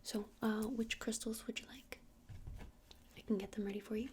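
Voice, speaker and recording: A woman whispering breathily and softly in an unhurried, hesitant ASMR roleplay as a gentle crystal shop witch, close to the microphone. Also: fairly high pitch, flat delivery, slight background noise. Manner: hesitant, unhurried, soft-spoken. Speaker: ASMR performer playing a witch shopkeeper.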